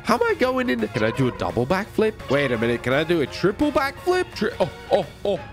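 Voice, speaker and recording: A man hosting a gaming video, giving excited, playful and boastful commentary full of exclamations. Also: sits high, brisk. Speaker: gaming video host and player. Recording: music behind the voice. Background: music.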